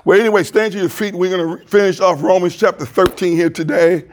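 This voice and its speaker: A man preaching as a pastor, praying and reading scripture in a fervent, deliberate way with a loud voice, into a handheld mic in a church. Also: high-pitched, fairly even intonation, a little hiss or hum. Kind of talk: sermon with prayer and scripture reading. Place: church sanctuary. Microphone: handheld microphone.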